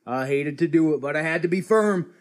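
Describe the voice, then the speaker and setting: An actor playing a fussy, folksy old hotel keeper in old-time radio comedy, given to exasperation. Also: male voice, on the high side, fairly quick, natural voice. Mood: folksy, chatty. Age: older. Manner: exasperated, folksy drawl grammar.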